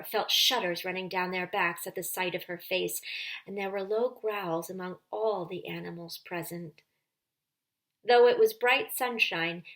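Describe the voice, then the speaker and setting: A woman storyteller with a mid-pitched voice narrates a children's book. Her reading is expressive, with deliberate and dramatic phrasing.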